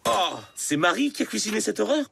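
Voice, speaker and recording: A man voicing a preoccupied, puzzled middle-aged father in an animated cartoon, with a fussy, expressive delivery. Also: high voice, brisk, vocal fry, over loud music, heavily acted. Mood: puzzled, irritated.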